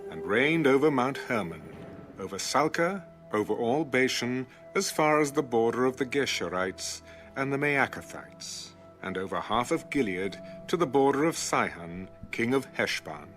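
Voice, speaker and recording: A man reading scripture as a scripted audio narration in a measured, formal way. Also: mid-range voice, expressive, a bit bright. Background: background music.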